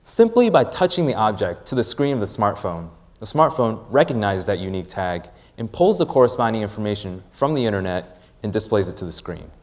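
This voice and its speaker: A man presenting a rehearsed product pitch as a startup presenter, with deliberate, emphatic phrasing. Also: very animated.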